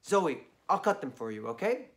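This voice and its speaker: A man's voice: on the high side, sing-song, normal speed. A man doing a curious little girl. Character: curious young girl friend.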